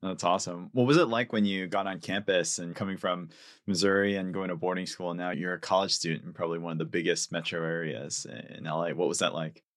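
A man hosts an interview podcast in a fairly deep voice. He puts his questions conversationally, and the recording is very dry, without room sound. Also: fairly even intonation, fast, a bit bright, no echo. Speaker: podcast host.

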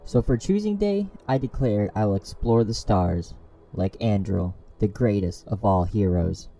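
An earnest, dreamy alien boy, played by a man, speaks in a very dark, rounded tone. He declaims eagerly and defiantly in comic-dub style, with faint music behind. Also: on the low side, lively intonation, unhurried, poor audio. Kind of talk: comic dub character acting.

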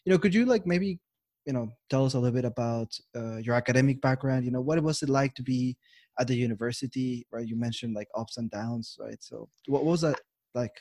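A man hosting a podcast and interviewing a guest in a relaxed way, with many fillers and "right?"s. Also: mid-range voice, fairly quick, dry recording. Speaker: podcast host.

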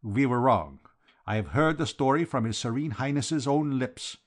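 A man's voice: mid-range voice, normal speed, voice pushed up, natural voice. A man voicing a formal, flowery foreign count, solemn and emphatic, devoted to his master, in a storybook reading. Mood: courtly, earnest.

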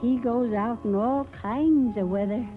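Slow-spoken old American country wife, played by a woman. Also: on the high side, close to an everyday voice. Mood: stubborn, fond. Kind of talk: 1940s radio acting. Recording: tinny old radio broadcast, music behind.

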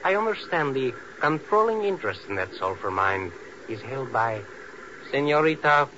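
Hot-headed engineer, muffled radio. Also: male voice, mid-range voice, very animated, normal speed, sharp tone. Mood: earnest. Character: hot-headed Venezuelan construction engineer, proud and impulsive. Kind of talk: 1950s radio drama acting. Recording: noisy, muffled old radio recording.

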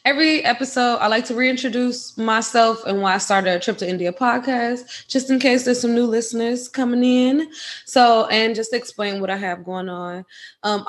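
A chatty woman. Her voice sits a bit high, and she laughs easily as she talks. She keeps the interview loose and casual. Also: fairly bright tone. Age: 20s. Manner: laughs often, chatty, uses fillers. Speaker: podcast host.

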